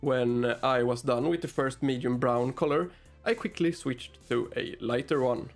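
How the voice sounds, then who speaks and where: Man, 30s, Swedish first language, deadpan sarcastic, middle pitch, faint background music. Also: measured pace. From Sweden. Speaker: miniature painting channel host. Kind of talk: dry, joking tutorial narration. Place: small dim hobby room, indoors.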